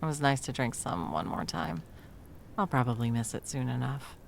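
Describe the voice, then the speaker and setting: Woman playing a low, creaky, sarcastic Christmas elf. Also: animated, normal speed, a little hiss or hum, full character voice. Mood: soft, rueful. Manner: sarcastic, hesitant, self-deprecating. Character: sarcastic, self-doubting female Christmas elf, bumbling delivery helper. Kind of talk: ASMR audio roleplay, one-sided intimate conversation.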